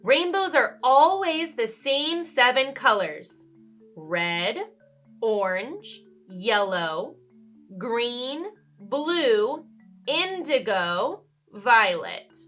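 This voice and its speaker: Animated high-voiced woman reading a storybook. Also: drawn-out words, soft background music. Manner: dramatic emphasis, asks the listener questions. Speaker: children's read-aloud storyteller. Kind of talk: expressive storybook narration for young children.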